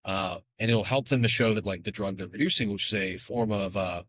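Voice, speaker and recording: Rapid-fire male podcast guest. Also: fairly low pitch, husky, no echo. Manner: rapid, animated explaining with quick asides. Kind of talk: casual podcast interview.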